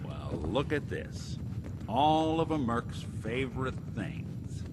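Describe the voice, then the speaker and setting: An actor playing a world-weary, kindly private detective in a video game, wry and laconic. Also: male voice, medium pitch, slow pace, heavy background noise, close to an everyday voice. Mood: wry, searching. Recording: loud game music behind voice.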